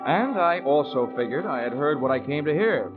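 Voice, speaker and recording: An actor playing a wisecracking, world-weary insurance investigator in a 1940s radio drama, speaking in a deadpan way. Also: male voice, on the high side, normal speed, lightly acted. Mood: wry narration. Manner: wry, deadpan. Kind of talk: 1940s radio acting, first-person narration. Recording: dull old radio recording, music behind.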